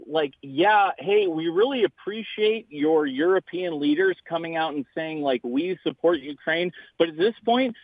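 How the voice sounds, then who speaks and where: A guest calls in, talking bluntly and joking with swagger. His voice sits a touch high and comes through a thin, tinny phone line. The sound is dry and close. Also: no echo. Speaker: guest, podcast host. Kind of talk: casual opinionated chat.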